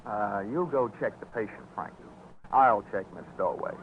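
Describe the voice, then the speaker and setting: An actor playing a wisecracking, cynical American investigator in a 1940s radio detective drama, wry and clipped. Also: male voice, lowish voice, moderate pace, a little hiss or hum, natural voice. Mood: businesslike. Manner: wry, clipped, tough talk. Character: wisecracking hard-boiled male American investigator, brisk and cynical. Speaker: lead character and narrator. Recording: muffled 1940s radio, music behind.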